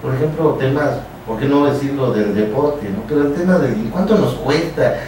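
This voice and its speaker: A Mexican man talks on a radio show in a halting, explanatory manner, circling back over his phrases. His voice is medium-pitched and sounds distant in a very echoey room. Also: a little mellow, a little hiss or hum. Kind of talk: casual radio conversation. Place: small radio studio, indoors.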